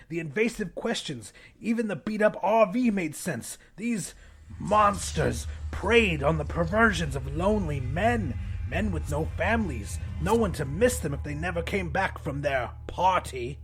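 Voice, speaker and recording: A man's voice: high voice, husky. A man narrating a horror audiobook in the first person, with an intense, theatrical delivery.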